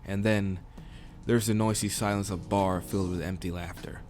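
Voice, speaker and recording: A man's voice: deep voice, steady tone, normal speed, a little mellow, lightly acted. A man reading as a weary, sceptical human stranded among monsters in a visual novel, plain and downbeat. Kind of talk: visual novel dialogue and first-person narration read aloud.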